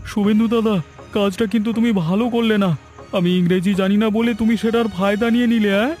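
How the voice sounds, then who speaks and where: Man acting a high-voiced, scheming young errand man. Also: fairly even intonation, fast, a little mellow, soft background music, lightly acted. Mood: betrayed, aggrieved. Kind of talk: Bengali cartoon voice acting.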